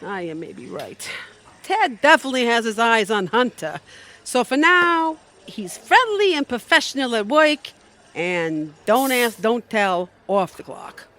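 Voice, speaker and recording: A woman's voice: on the high side, big pitch swings, unhurried, sharp tone, heavily acted. A woman playing a flirty, gossipy friend in an audio comedy, talking in a wry, teasing way. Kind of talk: audio theatre comedy acting.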